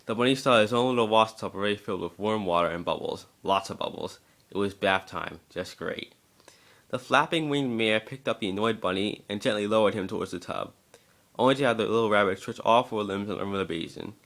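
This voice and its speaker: A man with a somewhat low voice reads a story as narrator. It is an amateur dramatic reading, with occasional stumbles and repeated words. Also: fairly quick, fairly bright tone.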